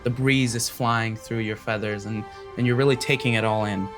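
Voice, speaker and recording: A man's voice: fairly low pitch, fairly even intonation, moderate pace, over loud music. A man working as game master, narrating a tabletop fantasy game in vivid, descriptive second-person storytelling.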